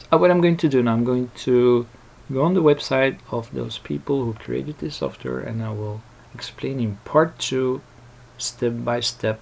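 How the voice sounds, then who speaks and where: Slow, gravelly man giving a casual tutorial. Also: medium pitch. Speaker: tutorial presenter. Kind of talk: casual unscripted software tutorial. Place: voice-over recorded at a computer.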